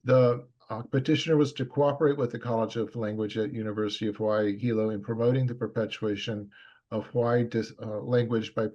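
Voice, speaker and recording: A man reading prepared public testimony at a government hearing, steady and measured, with frequent fillers and small restarts. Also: on the low side, dull audio.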